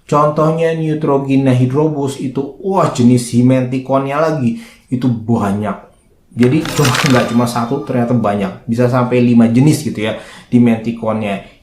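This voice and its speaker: A man with a slightly hoarse voice gives an informal, chatty skincare lesson, asking himself questions and then answering them. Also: medium pitch, darkish tone. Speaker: skincare educator and video host. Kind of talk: informal explainer to camera. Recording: roomy, echoing indoor sound.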